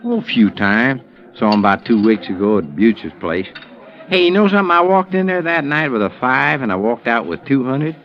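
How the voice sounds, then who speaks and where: A man's voice: medium pitch, sing-song, brisk. An actor playing a streetwise, wisecracking male informant in a vintage radio drama, talking in slang. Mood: gossipy. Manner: slangy, teasing. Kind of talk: 1950s radio drama acting. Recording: dull vintage radio recording.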